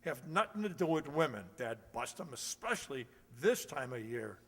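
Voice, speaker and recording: Man doing a cranky high-pitched old superintendent. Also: very animated, normal speed, fairly bright tone, full character voice. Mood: cranky, exasperated. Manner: grumbling, spluttering, folksy mild oaths. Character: cranky old waterworks superintendent, irascible woman-hater. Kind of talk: old-time radio comedy acting. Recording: reverberant hall, stage re-enactment.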